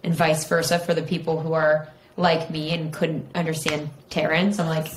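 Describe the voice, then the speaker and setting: A woman's voice: sits low, flat delivery. A young adult woman co-hosting a podcast, chatting casually and teasing her friend over a video call. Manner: chatty, playful and teasing, quick to laugh. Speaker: podcast co-host. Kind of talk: casual chat between friends. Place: room at home, indoors. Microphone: large studio mic on a video call.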